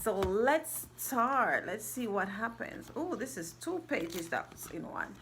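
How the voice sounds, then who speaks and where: A woman's voice: medium pitch, very animated, slow, a bit bright. A woman reading a picture book aloud to her young child in a casual, encouraging way, chatting and echoing the child's words. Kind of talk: casual interactive story time read-aloud.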